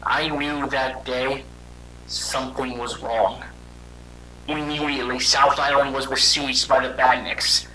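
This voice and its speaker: A man's voice: medium pitch, flat delivery, bright, forceful tone. Man voicing a weary robot hedgehog, noisy recording. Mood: somber, reflective. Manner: conversational, halting, dramatic storytelling. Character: weary young male robot hedgehog creature recounting his past. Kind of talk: animated fan audio drama dialogue.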